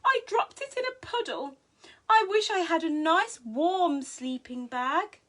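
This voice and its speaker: A forlorn storybook fox, acted by a woman, high-pitched and bright. The animal sounds tearful and plaintive, asking for sympathy. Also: lively intonation, a little slow, heavily acted. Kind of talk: bedtime storybook character voice.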